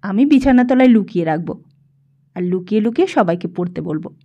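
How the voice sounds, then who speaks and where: A woman's voice: mid-range voice, animated, brisk, dull audio. A woman voicing a wildly overjoyed, impulsive boy in an audiobook, exclaiming eagerly. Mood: eager, secretive. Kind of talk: audiobook character dialogue.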